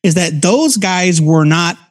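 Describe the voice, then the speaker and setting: A man's voice: high voice, expressive, takes it slowly. An American man in his forties giving casual, conversational commentary as a podcast co-host. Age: 40s. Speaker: podcast co-host reviewing comics.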